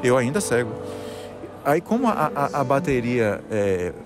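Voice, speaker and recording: A man's voice: mid-range voice, big pitch swings, unhurried. A middle-aged man from Salvador, earnestly telling his personal story in an interview and often restarting his phrases. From Salvador, Brazil. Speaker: guest, musician and conductor. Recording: music playing behind the voice.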